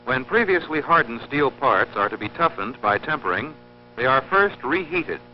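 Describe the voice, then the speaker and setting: A man's voice: medium pitch, big pitch swings, a little hiss or hum. Dull, humming old training-film narrator, man. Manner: measured, didactic, precise. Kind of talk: formal scripted instructional film narration.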